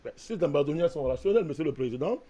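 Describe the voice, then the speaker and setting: A man's voice: fairly high pitch, lively intonation, rapid-fire, dark tone. A man from Cameroon, a panelist in a heated political debate, arguing insistently and keeps speaking to the host by name. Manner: insistent, argumentative, repeats a direct address to the host.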